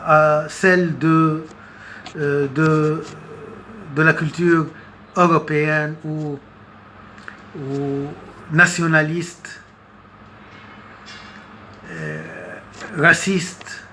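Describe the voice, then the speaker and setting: Very slow, halting man giving commentary. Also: on the high side, flat delivery, bright, forceful tone. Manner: halting, hesitant, with long pauses and repeated words. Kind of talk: unscripted political commentary monologue. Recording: noticeable background noise.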